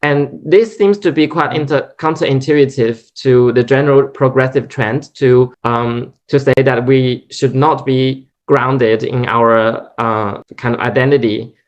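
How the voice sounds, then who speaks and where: A man's voice: medium pitch, fairly even intonation, measured pace, hardly any pauses. A man whose first language is Chinese, asking a long, hesitant academic question at a lecture, full of restarts. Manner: hesitant, many restarts and fillers. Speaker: audience member asking questions. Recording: dull lo-fi recording.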